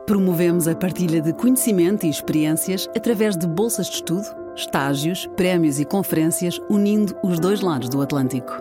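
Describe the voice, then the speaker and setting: A woman narrates a polished sponsor spot in a brisk, formal read. Her voice sits fairly low, and loud music plays under every line. It sounds like a studio-made promotional voice-over.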